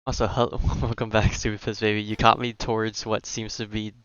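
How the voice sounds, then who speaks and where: Low-pitched young man streaming casually. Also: little pitch movement, brisk, fairly bright tone, clear, resonant tone, no room sound, lo-fi sound. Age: young adult. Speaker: game streamer. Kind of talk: casual live stream chat. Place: own room.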